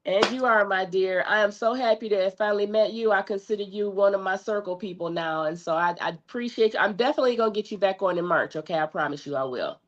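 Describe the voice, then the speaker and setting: A woman hosting a live talk show interview, friendly and quick to laugh, sounding stuffy from a cold. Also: medium pitch. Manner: friendly, laughs often, effusive thanks. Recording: dull lo-fi stream audio.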